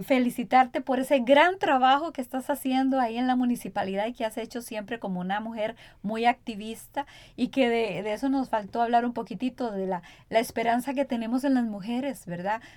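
A woman's voice: a little high, darkish tone, dry recording. A woman with a Costa Rican accent hosting a radio interview in a conversational way. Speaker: radio program host.

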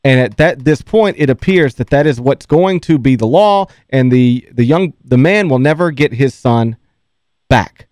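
A man's voice: mid-range voice, lively intonation, normal speed, darkish tone, no echo, clean studio sound. A man hosting a sports talk radio show who tells rambling stories and laughs often.

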